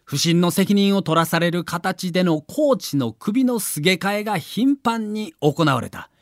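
High-pitched, dramatic male documentary narrator. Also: lively intonation, a little slow, no room sound, clean studio sound. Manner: dramatic, emphatic storytelling. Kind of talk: scripted audio documentary narration.

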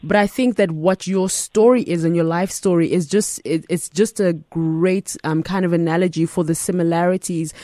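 A woman interviewer with a mellow, warm tone asks long, enthusiastic questions that wander through plenty of fillers. Her voice sounds close and dry, with no room around it. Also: lowish voice, steady tone, normal speed, hardly any pauses, no room sound. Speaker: host. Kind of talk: casual interview talk.

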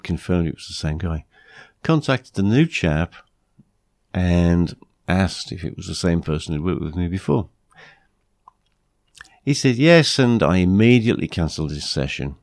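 A British man hosting a podcast with a blunt, plain-spoken manner, his voice croaky from flu. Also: low-pitched, darkish tone, dry recording, studio quality. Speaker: podcast host. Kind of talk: podcast monologue, anecdotes and advice.

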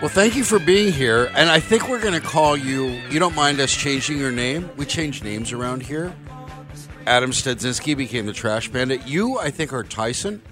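A man's voice: medium pitch, animated, moderate pace, over music. A man hosting a live sports talk radio show from a studio, joking and sarcastic as he runs the segment. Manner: wisecracking, upbeat and sarcastic. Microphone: studio microphone.

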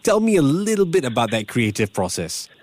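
A man's voice: mid-range voice, sing-song, fairly quick, a little mellow, dry recording. A man hosting an interview, asking questions in a conversational way. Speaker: interviewer, host.

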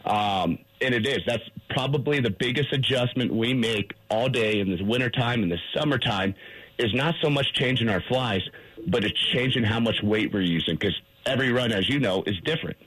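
A man with a mid-range, husky voice talks as a radio guest. He explains things eagerly, sprinkling in fillers as he goes. The sound is a radio broadcast. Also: medium pitch, moderate pace, fairly bright tone, low-quality recording. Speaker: fly shop guest, fishing instructor.

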